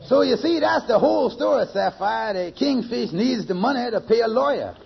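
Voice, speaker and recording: On a dull old radio recording, a meek, loyal sidekick. The voice is very high for a man and heavily creaky, with hesitant, folksy, simple phrasing. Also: animated, moderate pace, soft background music, full character voice. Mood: earnest, hesitant. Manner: hesitant, folksy nonstandard grammar. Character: mild, helpful, loyal male friend, simple and earnest. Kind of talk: 1940s-50s radio sitcom acting. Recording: muffled vintage radio recording.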